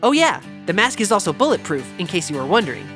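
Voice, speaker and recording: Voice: medium pitch, very animated, fast, a bit bright. Eager, proud gadget inventor, music behind. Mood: proud, showy. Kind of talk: audio drama acting.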